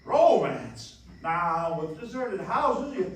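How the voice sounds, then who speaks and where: Eager, awkward young dreamer for a stage radio play; stammering, highish voice, heavily echoing hall. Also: male voice, very animated, slow pace. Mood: eager, boastful. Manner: stammering, hesitant, rambling. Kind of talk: live radio play acting, 1940s style. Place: live stage in a dance hall. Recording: very echoey hall, distant mic, faint noise.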